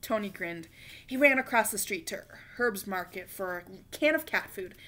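A woman reading a children's picture book aloud in an expressive, emphatic way, acting it out for young listeners. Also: medium pitch, normal speed. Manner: animated, emphatic, acts out dialogue. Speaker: storybook reader. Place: small living room, indoors on a couch.

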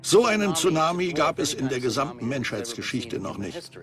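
A man playing an earnest scientist, explaining things in a measured way like a dubbed documentary expert. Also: a little high, big pitch swings, music in the background. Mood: earnest, explanatory. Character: earnest male scientist warning of cosmic threats.

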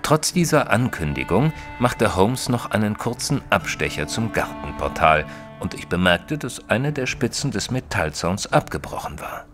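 An earnest companion to a detective tells his tale in a deep voice with animated intonation. His delivery is measured and literary, in audio-drama style, with faint music beneath. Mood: measured narrating. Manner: measured, formal, literary storytelling. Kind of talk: scripted first-person narration and audio drama acting.

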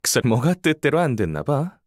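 Casting: cocky young female battle announcer in a game, animated delivery, informal blunt banter, dry studio recording. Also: extremely low pitch, brisk, no room sound, exaggerated voice. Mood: nonchalant. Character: cocky, casual young military operations announcer. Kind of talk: scripted game voice acting, battle commentary.

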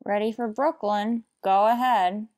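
A woman playing a brisk, formal telephone operator in an old-time radio drama, measured and impersonal. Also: medium pitch, steady tone, darkish tone, no echo, clean studio sound, natural voice. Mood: detached, routine.

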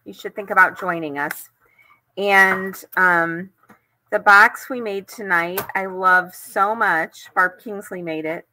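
Older woman, American accent, bright voice, casual craft demo, chatty host. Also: medium pitch, fairly even intonation, slow, a little hiss or hum, poor audio. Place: indoors at home.

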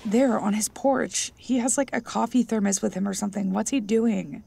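A woman's voice: medium pitch, steady tone, moderate pace, creaky, dark tone, breathy edge. A woman playing a blunt, pushy, suspicious young woman with a belief in witchcraft, in an audio drama. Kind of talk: audio drama dialogue, naturalistic.

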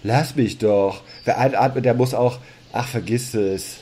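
A man's voice: medium pitch, a little slow, dark tone, slight background noise, natural voice. A man acting a sulky, doubting Adam in a comedic audio drama. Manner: whiny, exasperated. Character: Adam, a sleepy, grumbling, doubting first man.

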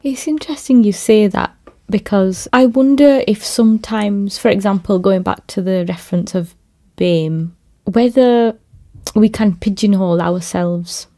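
A woman who works in theatre and youth work, speaking in a measured, thoughtful way in a podcast conversation. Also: medium pitch, warm tone. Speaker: theatre maker and youth worker.

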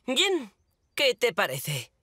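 A woman's voice: on the high side, huge pitch swings, extremely slow, bright, forceful tone, faint music behind, exaggerated voice. A woman playing a cheeky, boastful young schoolboy in a dubbed children's cartoon. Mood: cheeky, showing off. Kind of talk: animated children's series dubbing.

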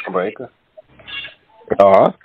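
A man's voice: on the low side, takes it slowly, dull audio, a little hiss or hum. A man, answering scam callers with dry sarcasm and mockery. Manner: sarcastic, mocking. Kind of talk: casual phone chat.

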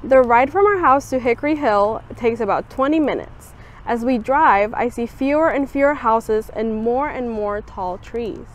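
Young woman, higher voice, expressive picture-book read-aloud, outdoor camera recording. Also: normal speed, a little hiss or hum. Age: young adult. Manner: expressive storybook reading with lively voiced quotes. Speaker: student volunteer reader. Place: outdoors on a campus bench.

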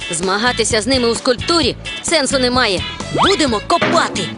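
A woman's voice: a little high, big pitch swings, brisk. A woman playing a bold, eager boy who leads his friends in a cartoon. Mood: scheming, confident. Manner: eager, confident. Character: bold, resourceful boy, cheerful leader of friends. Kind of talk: animated cartoon acting. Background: loud music.

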